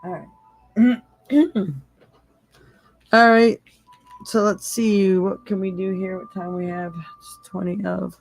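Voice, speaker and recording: A woman hosting a live psychic tarot stream, hoarse from losing her voice, chatting casually with viewers and laughing often. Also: medium pitch, flat delivery, slow pace, pauses often, rough recording.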